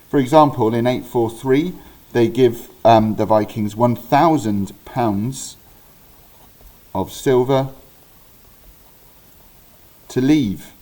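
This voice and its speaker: Chatty man with a mellow voice. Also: mid-range voice. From Wales. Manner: chatty, self-correcting, with frequent ums and restarts. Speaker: history teacher. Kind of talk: informal recorded history lesson.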